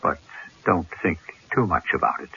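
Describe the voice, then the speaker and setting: A man hosting a radio mystery, narrating in a measured, deliberate, rhetorical way. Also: sits low, sing-song, vocal fry, heavy background noise. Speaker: host and narrator. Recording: dull old radio recording. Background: music.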